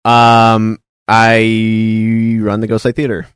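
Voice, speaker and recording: A man's voice: lowish voice, completely flat delivery, takes it slowly, smooth, no echo. A man chatting as a podcast guest about his theater, laid-back and laughing easily. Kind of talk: casual podcast chat.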